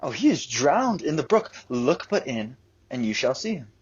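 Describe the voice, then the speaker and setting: A man acting an earnest, wry, lovesick young gentleman in a Shakespearean radio play, husky, on a lo-fi recording. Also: mid-range voice, sing-song, normal speed, lightly acted. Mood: wry sparring.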